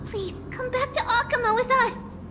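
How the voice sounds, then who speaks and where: Very high-pitched, earnest little priestess girl. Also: normal speed. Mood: earnest, pleading. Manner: earnest, formal, speaks as "we". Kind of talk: video game voice acting. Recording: loud game music underneath.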